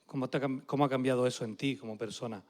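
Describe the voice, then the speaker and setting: A man interviewing church members during a service, lively and joking as he introduces them. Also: medium pitch, very fast.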